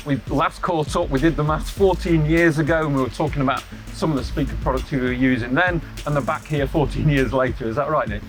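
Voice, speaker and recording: A man's voice: a little high, brisk, few pauses, faint music behind. A man presenting an on-location promotional interview, asking questions in a chatty, conversational way.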